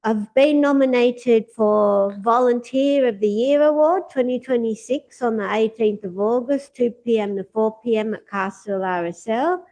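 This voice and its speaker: Woman sharing her news, slightly high. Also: warm tone, poor audio. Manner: lists news briskly, stumbles on place names. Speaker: club member. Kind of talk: casual self-introduction.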